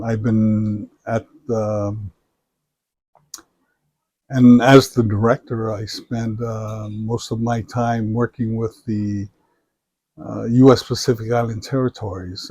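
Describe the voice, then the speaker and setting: Slow, mellow older man. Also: fairly low pitch, breathy edge, no room sound. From Samoa. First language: Samoan. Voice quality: measured, thoughtful delivery with frequent fillers. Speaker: interviewee, retired professor. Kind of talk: reflective interview answers.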